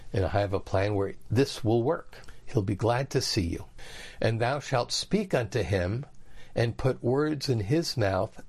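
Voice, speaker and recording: A man's voice: medium pitch, darkish tone. A man reading scripture aloud and explaining it in plain, everyday words as a Bible teacher. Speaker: preacher.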